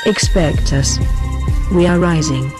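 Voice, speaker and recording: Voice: medium pitch, slow pace. A synthetic-sounding voice of unclear sex delivers a scripted political address in a formal, solemn, declarative manner, as spokesperson for an activist group. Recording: voice over background music.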